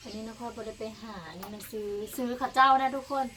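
A woman vlogger with a very high voice and an Isan Thai accent talks casually to her audience. She is folksy and keeps turning to her viewers as she goes. The outdoor recording has clear background noise. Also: fast talker, pushed and bright. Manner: folksy, addresses viewers often. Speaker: vlog host. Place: outdoors in a rural garden.

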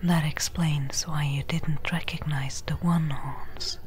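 Casting: actress as seasoned female caravan trader for ASMR roleplay, breathy whisper, low register, very unhurried, friendly and reassuring. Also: fairly even intonation. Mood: calm, friendly. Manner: slow, gentle, unhurried. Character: friendly seasoned woman desert trader, reassuring travel companion.

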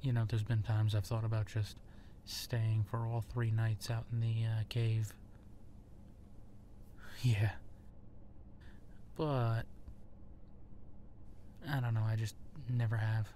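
A man playing a friendly, self-effacing werewolf in human form in an intimate roleplay audio drama, speaking in a halting, conversational way. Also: on the low side, level tone, warm tone, slight background noise. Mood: casual, helpful.

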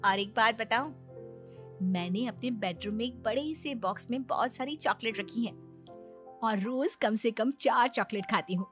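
A woman's voice: on the high side, normal speed, soft background music, lightly acted. A woman playing a sweet, coy young daughter-in-law in a moral-story cartoon. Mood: smug, coy.

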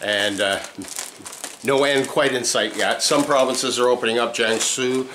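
A man in his fifties, chatting casually to camera from his apartment, relaxed and rambling with plenty of "uh" fillers. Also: medium pitch, a little slow, a little hiss or hum, poor audio. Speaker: vlogger. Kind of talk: casual vlog chat. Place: apartment at home, indoors. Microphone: handheld camera mic, close.

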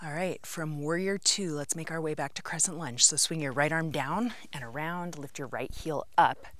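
Lower-pitched woman leading yoga. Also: fairly bright tone, slight background noise. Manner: measured step-by-step cueing in short phrases with pauses. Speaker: yoga instructor. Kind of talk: guided yoga class instruction, calling out poses and breaths. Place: outdoors on an open rocky canyon rim.

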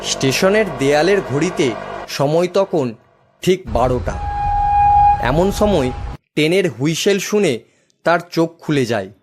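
A man's voice: a little high, animated, unhurried, long pauses, noisy background. A man giving scripted narration of a horror story as its storyteller.

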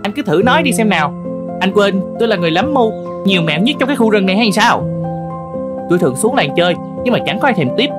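A woman's voice: mid-range voice, sing-song, fast talker, natural voice. A woman voicing a crafty, friendly fox in a cartoon folk tale, chatty and confident. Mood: confident, reassuring. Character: crafty, friendly, self-assured fox, loyal helper. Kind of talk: animated folk tale dialogue. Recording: music under the voice.

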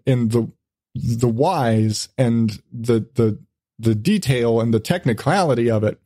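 A man's voice: medium pitch, mellow, no echo, clean studio sound. A man hosting a podcast in casual conversation, rambling informally and often rephrasing as he goes. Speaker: podcast host.

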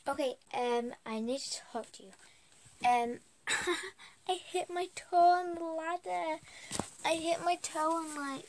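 A woman's voice: fairly high pitch, a little mellow, a little hiss or hum. A teenage girl vlogging from her bedroom, losing her voice, chatting in a rambling, hesitant way. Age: teens. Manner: chatty, rambling, slow and hesitant with many ums. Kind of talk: casual vlog chat. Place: small bedroom at home. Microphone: webcam or phone at arm's length.

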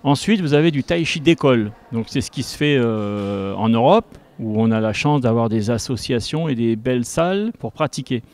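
A man in his fifties speaking as an instructor in an interview, explaining step by step and haltingly into a handheld microphone. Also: mid-range voice, expressive, darkish tone. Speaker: tai chi instructor. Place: large school gymnasium with a group behind. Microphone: handheld reporter microphone.

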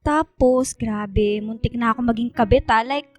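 An actress playing a chatty, dramatic young woman who tells stories to online friends in expressive, casual Taglish radio drama dialogue. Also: on the high side, moderate pace, no room sound, faint music behind, natural voice. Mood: gossipy, confiding. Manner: expressive, gossipy storytelling.

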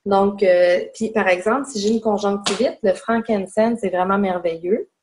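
A woman's voice: mid-range voice, steady tone, moderate pace, background noise. Québécois woman teaching a casual webinar. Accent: Québécois French. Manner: chatty, demonstrating on herself as she talks. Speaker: webinar presenter. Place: home room indoors. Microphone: webcam mic on a video call.

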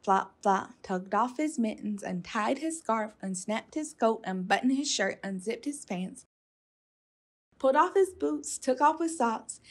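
A woman reading a children's storybook aloud expressively, with playful emphasis. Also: mid-range voice. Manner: emphatic, playful sound words. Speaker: storytime reader.

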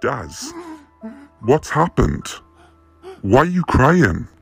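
An actor playing a concerned, sympathetic prison jailer in an audio drama, with an official manner. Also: male voice, mid-range voice, very animated, very slow, creaky, faint music behind, full character voice.

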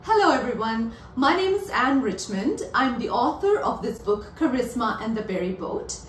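A woman hosting a children's circle time lesson, explaining things slowly and gently as a teacher. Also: on the high side, big pitch swings, a little hiss or hum. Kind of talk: children's lesson, slow and clear. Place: living room with plants. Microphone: far camera. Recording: roomy echo, from far off.

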